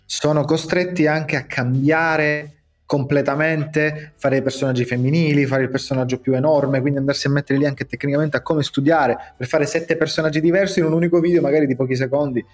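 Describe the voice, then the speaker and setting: A man's voice: a little high, fairly even intonation, a little fast. A man hosting a podcast in a casual conversational style. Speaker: podcast host.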